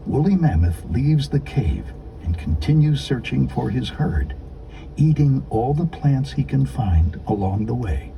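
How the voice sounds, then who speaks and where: A man narrating a children's storybook in an expressive, deliberate, scripted storytelling delivery. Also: medium pitch, creaky, a little hiss or hum, poor audio. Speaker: audiobook narrator.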